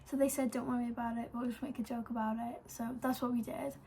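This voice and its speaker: A woman's voice: fairly high pitch, little pitch movement, quick pace, mellow, slight background noise. An eighteen-year-old woman with a Welsh accent, casually telling a story to camera, full of "like" and "um". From Wales. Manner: chatty, lots of "like" and "um". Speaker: vlogger.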